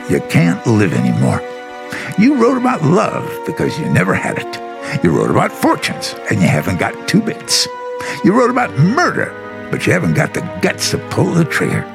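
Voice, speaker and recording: Male actor, scornful editor, radio drama, commanding, creaky edge, highly expressive intonation. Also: exaggerated voice.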